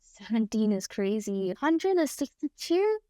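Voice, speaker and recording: A woman's voice: a little high, sing-song, a little slow, warm tone, no echo, clean studio sound. A young woman from Singapore with a mixed, partly Singaporean accent, rambling casually as a streamer while she plays, often laughing and pleading playfully. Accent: mixed, partly Singaporean English. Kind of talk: casual livestream chat while gaming.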